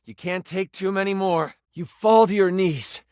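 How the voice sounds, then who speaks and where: A man's voice: high-pitched, normal speed, a little mellow, clear, resonant tone, no echo, clean studio sound, lightly acted. A man playing a playful, dramatic game master who narrates a tabletop fantasy adventure in a video game. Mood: dramatic, engaged. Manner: theatrical second-person storytelling with casual asides.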